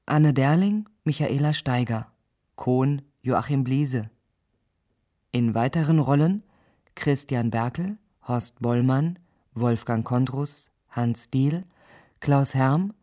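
A man reading scripted radio drama credits as an announcer, measured and matter-of-fact. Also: medium pitch, dark tone.

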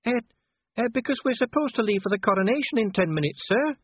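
A man's voice: extremely high pitch, animated, fast, slightly rough, no room sound, narrow, tinny audio, pitched above the performer's own voice, heavily acted. A man voicing an anxious, deferential female dwarf officer in an audiobook, polite and flustered.

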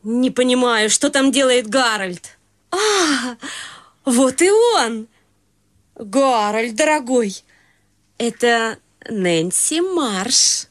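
A middle-aged woman actor playing a genteel society mother in a radio play, gracious, measured and formal. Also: fairly high pitch, animated.

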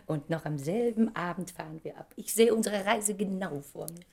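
A woman's voice: lowish voice, normal speed, a little mellow. A woman acting an effusive, ambitious stage actress who is dreamily in love, in a theatrical German radio drama style. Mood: tender, dreamy. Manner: theatrical, dreamy, swinging from breathy murmurs to exclamations.

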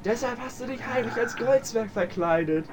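A man's voice: very high voice, expressive, moderate pace. A young man playing an incredulous, melodramatic boy detective in a tongue-in-cheek amateur radio play. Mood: suspicious.

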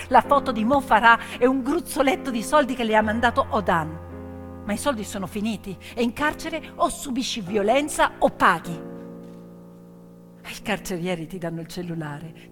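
A woman performing a dramatic theatrical monologue as a stage storyteller, intense and emphatic, in short suspenseful phrases. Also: a little high. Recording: long hall reverb, piano music underneath. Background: piano accompaniment.

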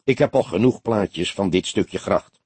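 A man reads the part of a grey-haired old police detective in an audiobook. He is weary but earnest and kindly, measured and emphatic, with a low, husky voice. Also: a little mellow, no room sound, full character voice.

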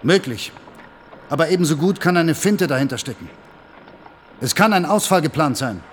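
An actor playing a sceptical general in a German radio drama, precise, controlled and authoritative. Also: male voice, a little high, lively intonation, normal speed, slight background noise, natural voice. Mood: cool, commanding. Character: cool, sceptical commanding general questioning a subordinate.

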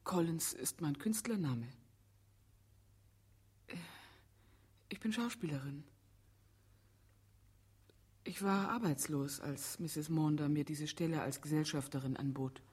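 Breathy, anxious woman witness. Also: low-pitched, a little mellow. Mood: quiet, defensive. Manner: hesitant, halting, emotional. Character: anxious, reluctant female witness, secretly the defendant's wife. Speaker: actress in a radio play. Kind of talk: German radio drama acting.

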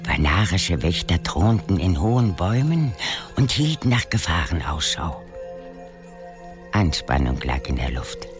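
An actor as the grave, suspenseful narrator of a scripted fantasy radio drama. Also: male voice, low voice, big pitch swings, measured pace. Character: grave, suspenseful male storyteller. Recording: loud music behind the voice.

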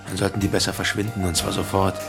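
An actor playing a menacing small-time crook and bully in an audio drama, curt, coarse and commanding. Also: male voice, sits low, level tone, fast talker, vocal fry, over loud music. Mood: swaggering.

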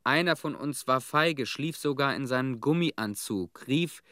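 An actor playing a disillusioned cleanup worker in a radio play, confessing frankly in clipped phrases. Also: male voice, medium pitch, animated, a little slow, bright, forceful tone, dry recording, clean studio sound. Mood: frank, bitter.

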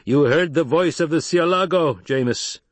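Guy doing a stern desert tribe boss for an audiobook, formal n commanding, pitched up, really declaims. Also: expressive, moderate pace, no room sound, lightly acted. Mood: sharp, commanding.

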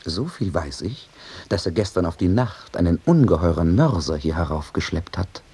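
Reproachful god, radio play, deep voice, mellow dark timbre, solemn, declamatory. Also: male voice, animated, moderate pace, breathy edge, exaggerated voice. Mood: ominous. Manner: rhetorical, solemn, accusing declamation. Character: stern, reproachful messenger god scolding mankind. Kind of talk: classical comedy radio play acting.

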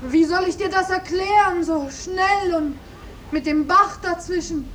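Casting: plain-spoken young maid, very high pitched, earnest radio-play delivery, set far from the microphone. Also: female voice, unhurried, fairly bright tone. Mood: anxious, pleading. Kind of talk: 1950s German radio drama acting. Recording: faintly hissy, distant 1950s radio recording.

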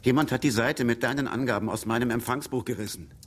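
A male actor plays a blunt accuser in a German radio crime play. He has a medium-pitched voice and a brusque, distrustful manner. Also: fast, a little hiss or hum, close to an everyday voice. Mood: accusing. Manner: brusque, confrontational. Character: blunt, suspicious, accusing man.

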